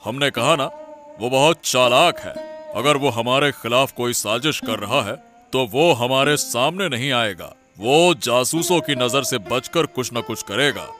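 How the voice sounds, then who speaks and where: A man playing a troubled, suspicious king in a cartoon story, speaking in a measured, stately manner. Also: mid-range voice, lively intonation, fairly bright tone, faint music behind. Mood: brooding, firm. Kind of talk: Hindi cartoon story dialogue.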